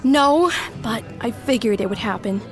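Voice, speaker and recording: A high-voiced young sister, played by a woman in a radio drama, pleading one moment and cold and cutting the next. Soft music runs under her lines. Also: normal speed, lightly acted. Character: Otto's bitter, desperate sister, young adult. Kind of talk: audio drama.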